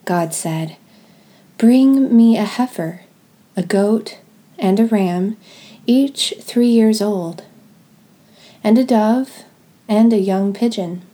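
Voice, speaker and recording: A woman leading a guided devotional reading in a gentle, deliberate way, pausing between short phrases. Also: medium pitch. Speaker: podcast host leading guided prayer and scripture reading.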